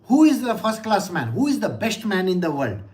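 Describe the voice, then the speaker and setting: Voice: mid-range voice, very animated, fairly quick, darkish tone. A spiritual teacher with an Indian English accent giving a scripture discourse in a scolding, sarcastic manner, slipping into Hindi phrases.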